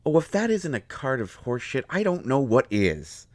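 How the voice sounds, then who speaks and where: A man playing an indignant, hurt healer mage in a fantasy roleplay audio, expressive, sarcastic and exasperated. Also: mid-range voice, normal speed, dry recording, natural voice. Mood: sarcastic, frustrated. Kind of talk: dramatic roleplay audio acting.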